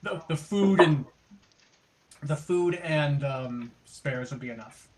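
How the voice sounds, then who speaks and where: A man running an online tabletop game as game master, narrating off the cuff and haltingly, thinking aloud. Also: a little high, lively intonation, darkish tone.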